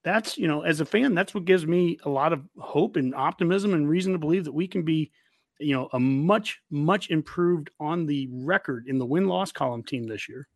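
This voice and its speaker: Higher-pitched American man talking sports. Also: moderate pace, no room sound, clean studio sound. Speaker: sports fan podcast host. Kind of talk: casual sports discussion.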